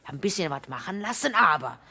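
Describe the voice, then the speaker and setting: A woman's voice: lowish voice, sing-song, brisk, vocal fry, pushed and bright, breathy edge. A woman in a comedy sketch, brashly boasting about herself and laughing as she talks. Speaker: sketch character.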